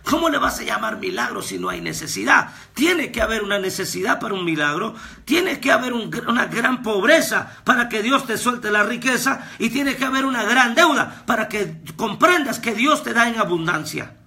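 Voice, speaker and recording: A man preaches a fervent sermon, repeating his points and rising to shouts. His voice sits high for a man and sounds extremely bright and cutting. A gravelly rasp runs through his delivery. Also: expressive, moderate pace. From Guatemala. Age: 30s. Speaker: pastor and prophet. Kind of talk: recorded devotional sermon.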